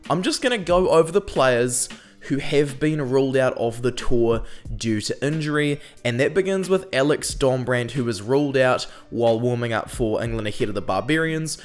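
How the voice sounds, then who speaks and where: A man's voice: medium pitch, lively intonation, moderate pace, faint music behind. A young man with a New Zealand accent, hosting a rugby analysis channel in a chatty, opinionated way, peppered with "um", while still getting over a cold. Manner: chatty, frequent "um" fillers, opinionated asides. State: recovering from a cold. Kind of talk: casual scripted commentary.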